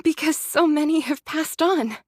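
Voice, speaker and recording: Woman acting as devout young female android, high-pitched, morose, withdrawn, touchy, very dry game recording. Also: moderate pace, no echo, lightly acted.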